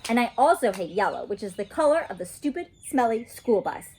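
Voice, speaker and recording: A woman's voice: fairly high pitch, sing-song, brisk, darkish tone, slight background noise, low-quality recording. A woman voicing a feisty, grouchy little kindergarten girl in a storybook, blunt and emphatic. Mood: opinionated. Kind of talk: storybook read-aloud.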